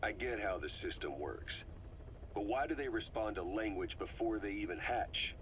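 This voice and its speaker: An actor playing a gruff, skeptical military interrogator in a video game, asking plain, probing questions. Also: male voice, fairly low pitch, expressive, normal speed, lightly acted. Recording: muffled cassette tape with background noise.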